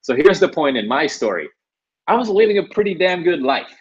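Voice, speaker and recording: A man's voice: a little high, very animated, fairly quick, husky. A young man from Sweden hosting a live webinar, talking casually with lots of "you know" and "right". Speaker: webinar host. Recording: dull lo-fi webinar audio.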